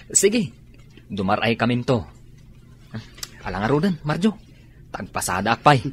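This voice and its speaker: An actor playing an easygoing neighbor in a radio drama, with an Ilocano accent. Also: male voice, medium pitch, sing-song, unhurried, a bit bright, slight background noise. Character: Joel, a friendly neighbor man.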